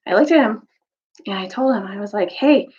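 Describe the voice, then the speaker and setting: A woman speaking as a teacher and storyteller in a casual, chatty way, halting and often restarting her phrases. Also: mid-range voice, lively intonation, darkish tone. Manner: halting, informal, self-interrupting. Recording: dull lo-fi recording.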